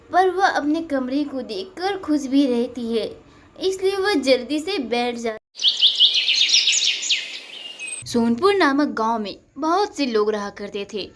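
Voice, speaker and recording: Casting: woman narrator for cartoon tales, high-pitched voice, scripted storytelling delivery. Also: a little hiss or hum.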